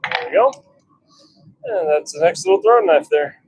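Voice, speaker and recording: A man's voice: a little high, wildly swooping pitch, normal speed, slightly rough. A man giving casual running commentary in short fragments while he works on a livestream from a noisy workshop. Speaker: blacksmith livestreamer. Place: workshop with a forge.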